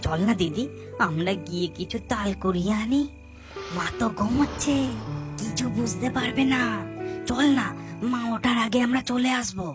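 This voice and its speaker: A woman's voice: fairly high pitch, moderate pace, music in the background, close to an everyday voice. Coaxing little brother, voiced by a woman. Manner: wheedling, persuasive. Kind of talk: Bengali children's cartoon voice acting.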